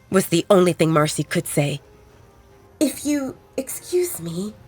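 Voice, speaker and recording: A woman narrating a romance audio story in a dramatic, expressive storytelling manner. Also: fairly low pitch. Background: background music.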